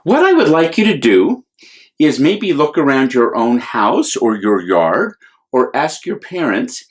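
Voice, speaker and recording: A man, a priest, speaking to children in simple, gentle words as he leads a storytime with song and blessing. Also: mid-range voice, very animated, measured pace. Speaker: priest telling children's Bible stories.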